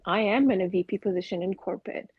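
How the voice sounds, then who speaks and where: Woman from afghanistan on a podcast, husky breathy voice, dry kinda dull audio, opinionated and earnest. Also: medium pitch, quick pace, dry recording. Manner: earnest, opinionated, spiritual talk. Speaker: podcast co-host. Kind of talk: casual podcast chat.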